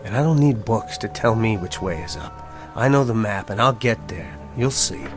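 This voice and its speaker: A man's voice: on the low side, normal speed, close to an everyday voice. An actor playing a brash, resentful working-class young man with slangy, streetwise speech in a radio drama. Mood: bitter, defiant. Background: music.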